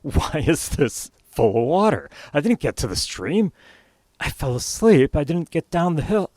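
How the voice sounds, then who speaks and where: A man doing a flustered, higher-voiced husband. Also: very animated, unhurried, darkish tone, dry recording. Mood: startled. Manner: breathless rambling excuses. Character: sleepy, flustered husband who overslept.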